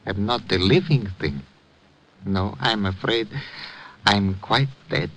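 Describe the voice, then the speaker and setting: An actor playing a gentle, courtly old immigrant man in a 1950s radio drama, wistful and quaintly formal. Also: fairly low pitch, slow, a little hiss or hum, low-quality recording. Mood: wistful, resigned. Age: older.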